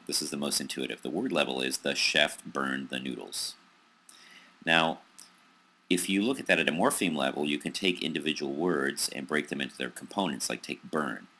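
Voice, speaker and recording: A man with an American accent giving a recorded psychology lecture over slides, pausing often with ums and restarts. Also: extremely low pitch, bright, forceful tone. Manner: hesitant, many ums and restarts.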